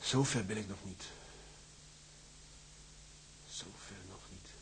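An actor playing a broken, brooding imprisoned sea captain in a Dutch audio drama, halting and haunted, repeating himself. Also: male voice, on the low side, a bit bright, slight background noise, poor audio. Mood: anguished, brooding.

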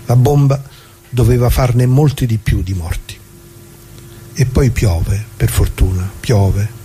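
An actor playing a dead retired working-class man, bitter and plain-spoken, addressing listeners in a halting, repetitive theatrical radio monologue. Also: mid-range voice, steady tone, dark tone, lightly acted. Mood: grave, bitter. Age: older.

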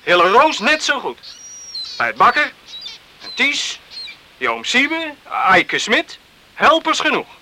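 An actor playing a good-natured, concerned rural islander in a Dutch radio play, exclamatory and given to repeating himself. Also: male voice, very high-pitched, big pitch swings, slow, long pauses, pushed and bright, full character voice. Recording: noisy old radio play recording.

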